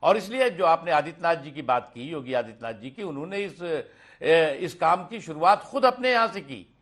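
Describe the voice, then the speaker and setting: A man giving off-the-cuff political remarks in a colloquial, halting way, often repeating words. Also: fairly high pitch, animated, a bit bright.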